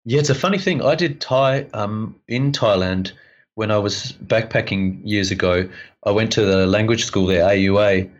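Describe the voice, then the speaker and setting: A man's voice: lowish voice, moderate pace, muffled recording. A man with an Australian accent, a guest rambling through stories in casual podcast chat and laughing often.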